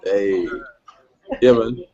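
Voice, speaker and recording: A man's voice: lowish voice, drawn-out words, mellow, dull audio. A young man who grew up in Switzerland, a guest rapper chatting casually in a laid-back, slangy way with frequent laughter. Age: young adult. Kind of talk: casual chat.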